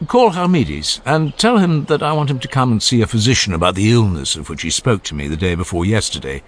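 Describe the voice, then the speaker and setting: A man voicing a cultured, proud Athenian gentleman with an assured, persuasive manner in an audiobook dialogue. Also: lowish voice, big pitch swings, a little fast.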